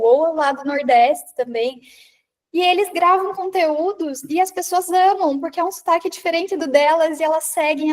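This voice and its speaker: A woman's voice: high voice, a little mellow. A woman from the interior of Paraná with a Paraná accent, giving a conversational talk that addresses listeners directly, often tagging her sentences with a questioning "né?". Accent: Paraná interior Brazilian Portuguese. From Paraná, Brazil. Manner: conversational, frequent rhetorical "né?"; addresses listeners directly, reads out their comments. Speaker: speaker on public presence and confidence. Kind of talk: live online talk to a women's group.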